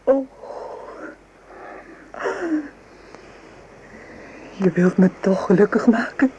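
A woman's voice: medium pitch, moderate pace, warm tone, lo-fi sound, a little hiss or hum, lightly acted. A woman acting a tender, hesitant young woman in wartime, in a Dutch radio play. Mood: tender, pleading.

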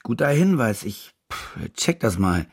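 An actor playing a glib, evasive German war reporter in a radio drama, with casual, slangy, hesitant speech and a gravelly voice. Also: male voice, medium pitch, very animated, measured pace. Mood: bluffing. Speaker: lead actor in a radio play. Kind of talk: naturalistic radio drama dialogue and narration.

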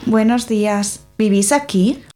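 A woman playing a brisk, sceptical, easily exasperated tenant in an audio drama. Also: mid-range voice, close to an everyday voice. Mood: polite. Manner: brisk, no-nonsense.

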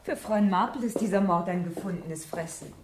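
An actress playing a sociable, playful vicar's wife and hostess in a radio drama. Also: female voice, mid-range voice, moderate pace, noisy background, close to an everyday voice. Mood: curious, lively. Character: vivacious, teasing vicar's wife, gracious hostess.

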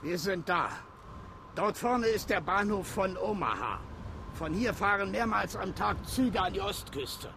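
A man's voice: sits high, animated, measured pace, vocal fry, background noise, full character voice. An actor playing a plain-spoken male sled driver in a radio play. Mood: matter-of-fact.

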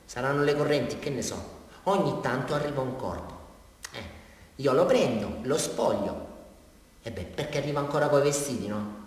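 A man's voice: mid-range voice, expressive, moderate pace, natural voice. An actor playing a rambling, unhinged man with a southern Italian accent confessing a crime in a theatrical radio monologue. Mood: quiet, eerie. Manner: rambling, repetitive, addressing a police officer, swinging from muttering to outbursts. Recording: very echoey hall, far from microphone.

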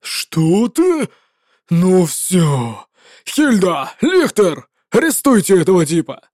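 A woman's voice: on the low side, huge pitch swings, takes it slowly, dry recording, studio quality, exaggerated voice. Woman acting a barking arrogant male legate. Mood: furious. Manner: barking, domineering, shouting orders. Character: arrogant hot-tempered male military legate.

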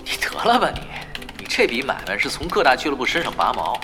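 A laid-back male gamer in an audio drama. His mid-pitched voice is dry and teasing with lively inflection, music behind. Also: quick pace, vocal fry, heavily acted. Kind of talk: Chinese audio drama acting. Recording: music behind, keyboard typing.

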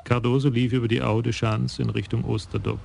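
A man narrating a German crime radio play in a calm, measured way. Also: medium pitch, gravelly, music in the background.

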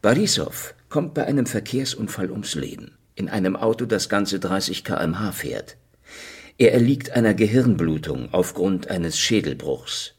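A man acting a cynical, world-weary secret police investigator in a noir radio play, with a gravelly, sardonic delivery. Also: low-pitched, lively intonation, normal speed, no echo, exaggerated voice. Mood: sardonic, pressing. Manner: sardonic, dry. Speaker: actor and narrator in a radio play. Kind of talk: noir radio drama, hard-boiled first-person narration.

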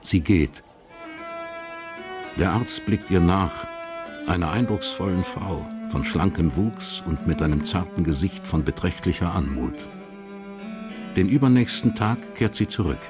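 A man with a low voice narrates a period crime play. His delivery is measured, sober and literary, with faint music in the production. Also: lively intonation. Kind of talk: scripted narration of a radio crime drama.

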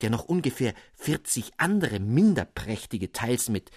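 A man giving an expressive, scripted reading of a literary tale as narrator, with precise storytelling phrasing. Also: mid-range voice, a little slow, slightly rough, no room sound, clean studio sound. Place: dry studio.

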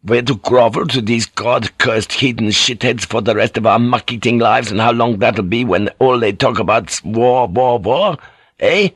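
A man's voice: medium pitch, normal speed, a bit bright, breathy edge, no echo, studio quality. A man voicing a frantic, foul-mouthed sailor in an audiobook, ranting and blustering as he cracks into madness. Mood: angry ranting. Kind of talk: dramatic audiobook character voice.

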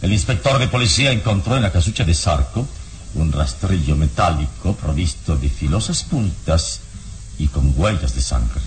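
An actor playing a grave, commanding heroic investigator in a radio drama, speaking deliberately with dramatic pauses. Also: male voice, low voice, slight background noise, poor audio.

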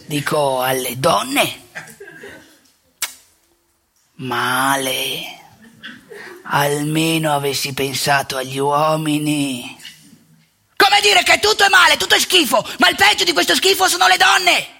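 Woman acting gravelly, candid old priest, bright and forceful. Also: sits low, big pitch swings, full character voice. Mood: wry, confessional, then indignant. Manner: colloquial, confiding, emphatic, with dramatic pauses. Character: candid, indignant elderly male priest recalling seminary youth. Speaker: stage actor and monologuist. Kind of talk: theatrical storytelling monologue for radio theatre.

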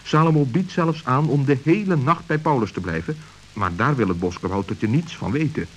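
A man narrating a scripted children's story in a measured, dramatic manner. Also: medium pitch, animated, highs cut off. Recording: hissy old radio recording.

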